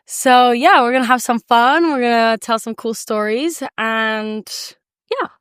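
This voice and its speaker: A woman's voice: fairly high pitch, unhurried, a bit bright, smooth, no echo, studio quality. A woman hosting a podcast, talking in a chatty, casual way. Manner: chatty, friendly, fillers and restarts. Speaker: podcast host. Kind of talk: casual conversational interview.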